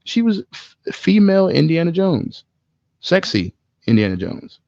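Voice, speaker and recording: Male co-host, low gravelly voice, opinionated casual chat, free swearing, dull dry recording. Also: big pitch swings, unhurried, dark tone, no room sound. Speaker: podcast co-host. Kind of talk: casual chat about video game movies.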